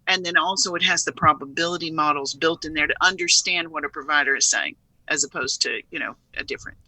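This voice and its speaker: A middle-aged woman whose voice is very bright and sharp, guesting on an interview with upbeat enthusiasm and frequent laughs. The recording is dry and close. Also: fairly low pitch, dry recording, rough recording. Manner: upbeat, laughs often, emphatic. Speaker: company chief executive, interview guest. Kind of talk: conversational interview.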